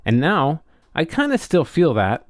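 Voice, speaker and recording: A man chatting casually and reflectively as a podcast co-host, often halting as he searches for words. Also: a little high, huge pitch swings, dry recording, studio quality.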